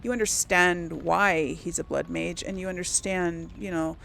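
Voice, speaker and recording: A woman's voice: lowish voice, measured pace, creaky, fairly bright tone, slight background noise. A woman giving casual video game commentary, conversational and musing as she thinks aloud and talks herself through choices. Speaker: let's play host.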